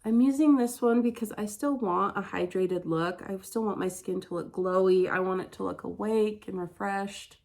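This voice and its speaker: A woman's voice: mid-range voice, moderate pace, vocal fry, few pauses, darkish tone. A woman from Utah vlogging a casual get-ready-with-me, chatty and candid, her voice congested and stuffy from a head cold. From Utah, USA. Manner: chatty and candid, many "like" fillers. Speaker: beauty vlogger.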